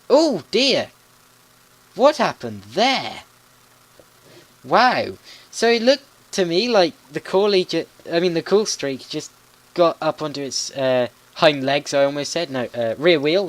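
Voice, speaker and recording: Chatty teenage boy commentating a game. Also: on the high side. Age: teens. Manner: polite, chatty, laughs at mishaps, often stumbles over words. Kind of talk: excited play-by-play commentary on a video game.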